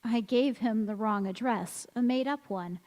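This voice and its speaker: A woman playing a strange, self-possessed young woman in a radio drama, speaking earnestly and deliberately. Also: a little high, a little mellow, natural voice. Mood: frank. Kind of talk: stage radio drama acting. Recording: echoey church hall.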